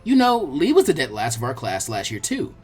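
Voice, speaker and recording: A man's voice: medium pitch, wildly swooping pitch, a little fast, husky, faint music behind, voice pushed down. A man voicing a friendly, frank young ninja girl with a casual, encouraging manner, for a fan fiction audiobook. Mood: reassuring.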